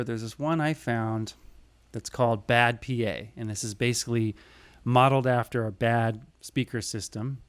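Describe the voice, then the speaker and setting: Casual man presenting a tutorial, mid-pitched. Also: smooth. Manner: informal, step-by-step explaining. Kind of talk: casual screen tutorial narration.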